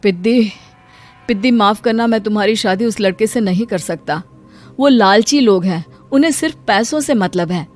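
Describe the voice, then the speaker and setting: A woman's voice: medium pitch, moderate pace, faint music behind, natural voice. A woman voicing a meek, dutiful poor brother with a mild, placating manner in a cartoon story. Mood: apologetic, firm.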